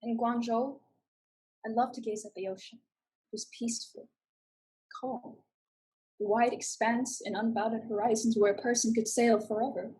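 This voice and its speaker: Very husky, earnest woman, acted in stage drama. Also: a little high, steady tone, moderate pace, long pauses, dark tone, poor audio. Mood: gentle, yearning. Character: earnest, probing young woman, a survivor turned pirate's companion. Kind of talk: staged reading of a stage play.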